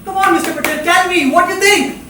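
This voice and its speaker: A male stage actor playing a lonely, needy drifter who pours out his life story in a halting, insistent, theatrical way. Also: very high voice, steady tone, noisy background. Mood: pleading, wistful. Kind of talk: live stage drama acting. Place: indoor theatre stage, recorded from a distance. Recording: echoey theatre, distant mic, music behind.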